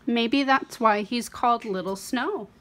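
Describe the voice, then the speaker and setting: A young woman tells a picture-book story for small children in a fairly high voice. She goes slowly, leaning on key words and pausing for drama. Her delivery is expressive throughout, as a read-aloud host. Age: young adult. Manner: expressive, dramatic pauses and emphasis.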